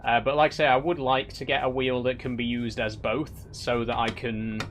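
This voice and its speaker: A man's voice: medium pitch, little pitch movement, normal speed, background noise. A young man from Yorkshire with a Yorkshire accent, chatting casually on a live stream as he reads out viewer comments, with many restarts. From Yorkshire, England. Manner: chatty, with frequent restarts and light wry teasing.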